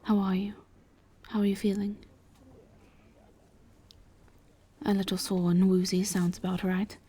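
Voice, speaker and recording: A woman playing a gentle, reserved vampire in a roleplay audio drama, speaking in a hushed, breathy voice with careful, halting, formal phrasing. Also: mid-range voice, flat delivery, lightly acted. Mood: gentle, caring. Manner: halting, careful, formal diction without contractions. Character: gentle, reserved female vampire travelling with a knight.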